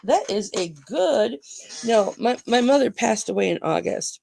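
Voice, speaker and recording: Gravelly groggy-voiced woman auction host. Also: fairly high pitch, lively intonation, measured pace, darkish tone. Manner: chatty, calls viewers honey. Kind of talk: live-stream sales chat.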